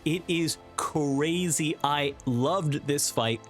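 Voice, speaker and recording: A man's voice: fairly high pitch, extremely slow, faint music behind. A man giving enthusiastic video game review commentary in an effusive, emphatic manner.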